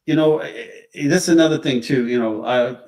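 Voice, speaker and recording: A man discussing crime cases casually on a live stream, halting as he searches for words, recorded at a distance from the microphone. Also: mid-range voice, a little mellow.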